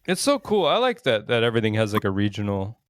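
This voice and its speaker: A man's voice: fairly low pitch, huge pitch swings, fast talker, no room sound. An American man chatting casually as an interview guest, with an informal, slangy way of talking. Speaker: interview guest, record label owner. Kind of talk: casual chat.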